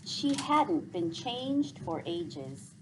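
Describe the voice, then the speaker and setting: A woman teacher reading a story aloud to pupils in an expressive, clearly enunciated way. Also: on the low side, extremely slow, background noise.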